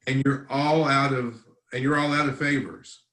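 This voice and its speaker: A man's voice: mid-range voice, steady tone, normal speed, a bit bright, close to an everyday voice. Man playing jaded district attorney, roomy echo. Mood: jaded, dismissive. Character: jaded, dismissive male district attorney warning someone off. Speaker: actor in a web series table read. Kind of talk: scripted drama read aloud.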